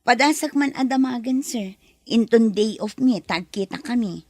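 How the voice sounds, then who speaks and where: A woman's voice: fairly high pitch, normal speed, darkish tone, dry recording, clean studio sound. A woman acting in a radio drama as a loyal friend and employee, with a conversational delivery. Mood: deferential. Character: loyal, teasing female friend, deferential employee.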